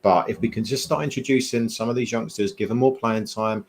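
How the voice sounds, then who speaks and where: Co-host, man in his 30s, quick lowish delivery, matey and fired up. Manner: fast, animated, says "mate" and "you know". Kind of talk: casual football chat. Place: home room with framed shirts.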